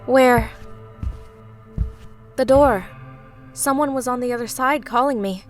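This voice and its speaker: A woman's voice: fairly high pitch, slow, faint music behind. A woman playing an earnest, sorrowful young woman in a dramatic comic dub. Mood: dazed, haunted.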